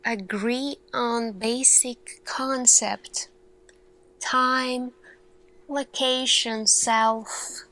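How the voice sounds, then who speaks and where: A woman performing an ASMR sci-fi roleplay as an earnest, thoughtful lead scientist, speaking deliberately with pauses. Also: fairly high pitch, steady tone, sharp tone, rough recording. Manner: slow, deliberate, pausing, questioning delivery. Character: earnest, thoughtful female lead scientist addressing her team.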